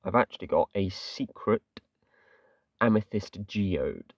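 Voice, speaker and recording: A man's voice: sits low, expressive, takes it slowly, no room sound, studio quality, recorded from a distance. A man with an English accent chatting casually and a bit ramblingly as he talks through his game. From England. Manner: chatty, rambling, self-deprecating, lots of fillers like "kinda". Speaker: gaming channel host. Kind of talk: casual gameplay commentary.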